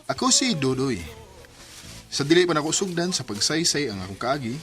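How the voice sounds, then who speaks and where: Earnest man with a lively voice. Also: a little high, normal speed, soft background music. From Cebu, Philippines. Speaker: narrator and lead character. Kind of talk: radio drama acting.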